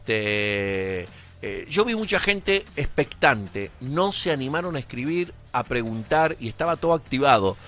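A man's voice: mid-range voice, expressive, a little slow, a bit bright. A man, co-hosting a live show from a radio studio, chatty and rambling. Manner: chatty, rambling, gives the call-in number. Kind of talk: casual chat on a live show. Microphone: headset and studio mic.

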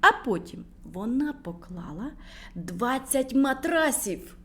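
A woman reads a fairy tale aloud for children, with a slow, gentle delivery. Her voice sits a little high and rises and falls in a very lively way. She turns to speak to the children directly. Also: husky. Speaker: storyteller for children.

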